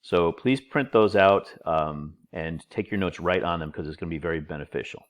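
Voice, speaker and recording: A man's voice: low voice, normal speed. A middle-aged man, a college instructor, giving a recorded online lecture over slides, a little nasal from a cold, informal and chatty with joking asides.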